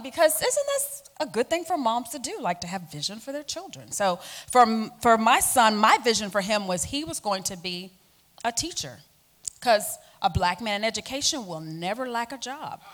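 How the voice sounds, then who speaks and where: A woman's voice: fairly high pitch, sing-song, normal speed, fairly bright tone. A woman in her forties hosting a faith talk show, telling family stories earnestly and animatedly, with frequent fillers. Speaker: host.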